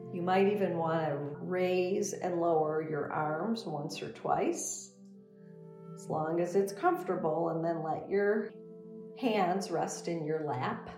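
Woman, meditation teacher, slow pace, gentle and soothing delivery, roomy echo, music behind. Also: fairly low pitch. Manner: gentle, soothing, unhurried instruction. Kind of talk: guided meditation.

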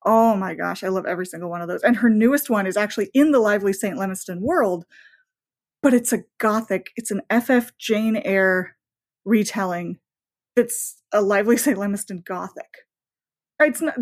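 A woman novelist talking casually as an interview guest, enthusiastic and rambling, often voicing her own thoughts as asides. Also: mid-range voice.

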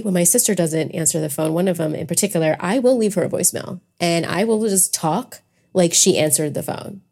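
A relaxed American woman with a soft-edged, dark tone and a slightly low pitch. She chats informally as a solo podcast host. Her delivery is loose and rambling, like unscripted talk. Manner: chatty, informal, rambling with swearing. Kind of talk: casual solo podcast chat.

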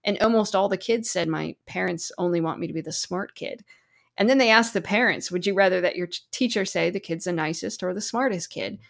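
A woman with a lowish voice and noticeable vocal fry talks as a guest expert in an interview. She explains things conversationally, often slipping into example quotes to illustrate her advice. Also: brisk. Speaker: therapist guest.

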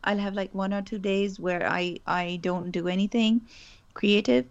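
A woman's voice: medium pitch, steady tone, a little slow, creaky, dull audio. A woman whose first language is Urdu, a guest in a casual interview, speaking thoughtfully with frequent restarts. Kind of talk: conversational interview.

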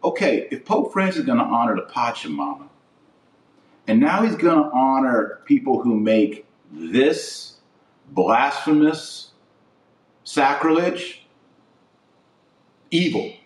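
Slow, emphatic man preaching in a roomy echo. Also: mid-range voice, big pitch swings, recorded from a distance. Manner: indignant, emphatic, preachy, with drawn-out stressed words. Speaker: podcast host and religious commentator. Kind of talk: opinionated religious commentary to camera or podcast audience.

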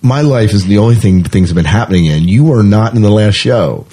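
Casual podcast guy, soft dark tone w/ a rasp, jokes around and agrees in quick repeats. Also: lowish voice, expressive. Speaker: podcast co-host. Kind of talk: casual chat, running through the show's lineup.

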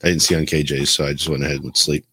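A man's voice: extremely low pitch, little pitch movement, racing, sharp tone, breathy edge, dry recording. A man co-hosting a podcast, chatting casually and chipping in with teasing remarks. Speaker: podcast co-host. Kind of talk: casual group banter.

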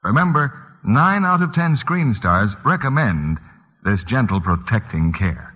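Husky, forceful American male pitchman. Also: animated, measured pace. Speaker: commercial announcer. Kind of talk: 1940s radio advertising read. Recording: muffled old radio broadcast, long reverb.

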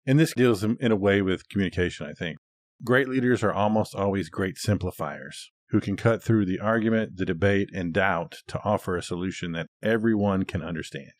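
Male co-host, lower voice, dry studio-like sound, agreeable and plain-spoken in casual discussion. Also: brisk, dry recording. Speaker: podcast co-host. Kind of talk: casual conversational podcast discussion.

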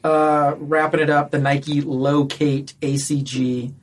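A man's voice: a little high, little pitch movement, takes it slowly, slight background noise. A man on a podcast panel, chatting casually as he reads out and comments on a list of releases.

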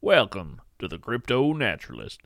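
A man playing a folksy, earnest naturalist who hosts an audio fiction show, narrating in a measured, lyrical way. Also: on the low side, huge pitch swings, gravelly, loud music behind the voice, heavily acted. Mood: welcoming, folksy. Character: folksy, wonder-struck male naturalist hosting a show about cryptids. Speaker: podcast host.

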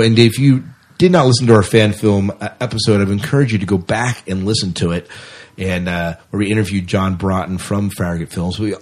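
A man's voice: fairly low pitch, a little mellow. A man hosting a podcast in a casual, conversational interview style.

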